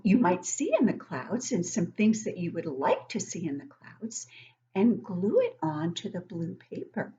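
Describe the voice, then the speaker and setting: A woman's voice: on the low side, mellow, dull audio. A middle-aged woman hosting an interactive picture-book read-aloud for young children, encouraging them with questions and exclamations. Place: living room at home, indoors. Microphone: webcam at desk distance.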